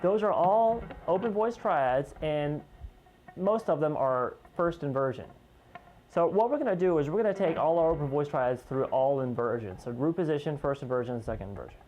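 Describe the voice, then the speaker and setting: A man teaching guitar in an informal, conversational way, explaining step by step and pausing as he points out each note. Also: fairly high pitch, animated, a little mellow, poor audio. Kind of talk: informal instructional lesson, demonstrating step by step.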